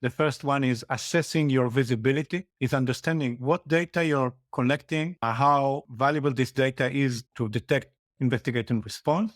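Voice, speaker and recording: A man from Argentina, a guest on a podcast, explaining his points in a measured, step-by-step way. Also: mid-range voice, no echo, studio quality. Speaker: interview guest, security executive. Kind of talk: casual interview chat.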